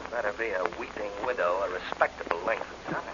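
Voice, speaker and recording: Man as a low-voiced scheming lover, muffled recording. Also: fast, lightly acted. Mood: sweet, calculating. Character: smooth-talking scheming lover, cautious and calculating. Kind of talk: 1940s radio crime drama acting. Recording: noisy, muffled old radio recording.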